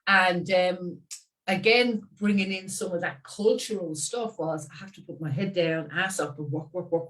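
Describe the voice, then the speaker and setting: A woman in her sixties with an Irish accent, a guest chatting about parenting in a lively, laughing way. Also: lowish voice, far from the mic, poor audio. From Ireland. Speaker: guest expert. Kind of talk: casual conversational interview.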